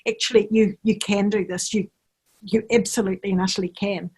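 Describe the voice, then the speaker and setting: A woman's voice: medium pitch, flat delivery, brisk, a little mellow, husky. A woman hosting a networking meetup. She thanks the guest speaker and reflects on the talk in an affirming way, using plenty of filler phrases. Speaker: host.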